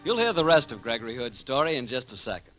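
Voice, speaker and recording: A man's voice: mid-range voice, very animated, quick pace, over loud music, rough recording. A man announcing in 1940s radio style, with folksy, enthusiastic salesmanship. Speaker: announcer and sponsor spokesman.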